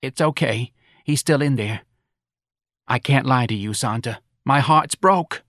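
Man as a high, fretful New mother. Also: a little slow, fairly bright tone, no echo, clean studio sound, natural voice. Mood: worried. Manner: whining, aggrieved. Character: Irene Riley, plaintive, fretful aging Southern mother. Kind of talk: audiobook character dialogue.

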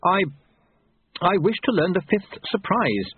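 A young male apprentice in a storybook acted by a male reader falters and protests. The voice is fairly high and lively, confused and unsure of itself. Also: a little slow, husky, dry recording, narrow, tinny audio, heavily acted. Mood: hesitant.

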